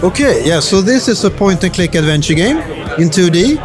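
A man's voice: sits high, animated, a little fast, music in the background, crowd noise. A man, an indie game developer, talking about his game in an enthusiastic, rambling way with many fillers into a handheld mic. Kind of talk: casual interview. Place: crowded indoor event room.

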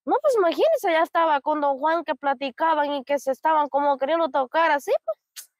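A woman's voice: high voice, a little fast, no room sound, studio quality, natural voice. A young woman playing a chatty, gossiping village neighbour in an amateur skit. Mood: gossipy. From El Salvador. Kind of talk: amateur rural skit dialogue. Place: outdoors in a grassy rural pasture.

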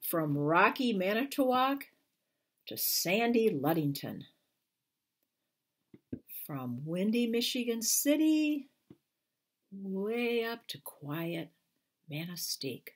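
Older woman reading a storybook, lively and unhurried. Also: medium pitch. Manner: careful, deliberate storytelling with emphatic phrasing. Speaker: children's book author reading aloud. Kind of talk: expressive storybook read-aloud for children. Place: small furnished room at home, indoors.

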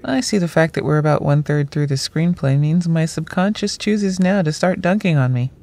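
Sardonic woman screenwriter, lowish pitch, gravelly fry, dry humour, audio drama. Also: fairly quick, dark tone, no room sound. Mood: wry, playful. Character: wry self-deprecating woman screenwriter among close friends. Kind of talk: naturalistic audio drama acting.

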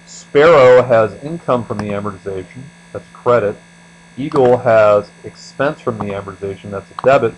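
Low-voiced man teaching, with background hum. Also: moderate pace, poor audio. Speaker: accounting instructor. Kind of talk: screencast tutorial lecture. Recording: steady mains hum behind voice.

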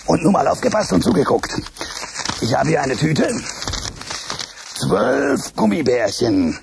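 A man voicing an excitable furry puppet who hosts a children's show in a radio play. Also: a little high, unhurried, noisy background, exaggerated voice. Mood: chatty. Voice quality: gravelly, exuberant, sing-song. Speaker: show host within the play.

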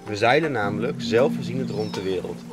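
A young Dutch man, a sailing skipper, reading a calm, matter-of-fact scripted voice-over. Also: fairly low pitch, fairly quick. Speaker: skipper narrating a sailing documentary. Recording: faint music under the voice.

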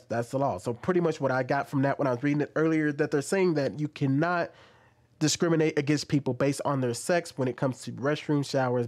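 A man in his thirties hosting casual commentary at home into a studio mic, talking with animated, questioning emphasis. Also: mid-range voice. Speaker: commentary host. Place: small room at home, indoors. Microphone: studio mic on an arm, wearing headphones.

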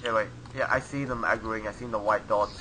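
A man's voice: fairly low pitch, fairly even intonation, a little fast, a crowd in the background, rough recording. A man talking casually while gaming with a friend, brash and crude, joking around. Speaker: gamer.

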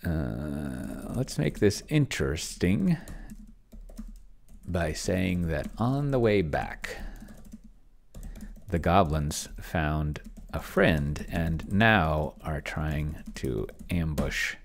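Middle aged guy w a really low voice, kinda muttering to himself as he works at his desk, halting, casual, close mic. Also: big pitch swings, gravelly, a little hiss or hum. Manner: halting, murmuring to himself while typing and reading. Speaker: host, solo roleplaying player. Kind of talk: casual think-aloud screen commentary. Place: small room at home, indoors. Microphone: desk microphone close to the mouth.

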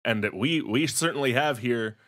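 A man's voice: mid-range voice, moderate pace, fairly bright tone. Hesitant man on a casual podcast. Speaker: podcast panelist. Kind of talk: casual chat, joking theorizing.

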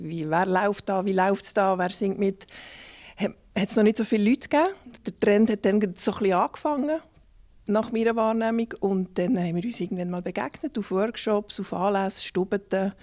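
A woman in her forties with a Bernese Swiss German accent, answering interview questions softly and a little breathily in a small studio room. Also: medium pitch. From Bern, Switzerland. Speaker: singer and teacher, interview guest. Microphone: handheld mic held by interviewer.

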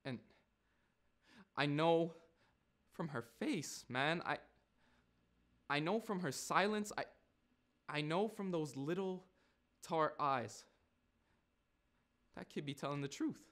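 A man's voice: on the high side, slow, long pauses, fairly bright tone. An actor in his twenties playing a streetwise, brash, wounded young man in a theatrical monologue, with slangy, insistent, repetitive delivery. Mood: hurt, subdued. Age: 20s. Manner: slangy, swearing, insistent, with repeated phrases.

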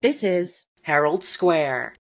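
Lady doing subway announcements, slow n formal, lowish voice, pitch moves around a lot. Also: animated. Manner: measured, formal, segmented delivery. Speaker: train announcer. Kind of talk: scripted transit announcement, spliced clips.

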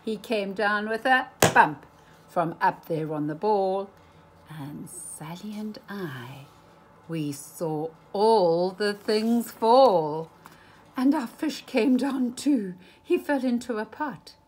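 Slow, animated woman reading a storybook. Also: medium pitch, a little hiss or hum. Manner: dramatic pauses and emphasis. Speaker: storybook reader. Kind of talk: expressive children's read-aloud storytelling.

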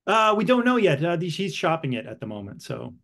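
A man in his thirties, an actor from northern Canada, chatting as an interview guest over a video call from a room at home, lively, repeating words and laughing easily. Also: fairly high pitch, brisk, vocal fry. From northern Saskatchewan, Canada. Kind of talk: casual interview chat. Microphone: headset with desk mic, video call.

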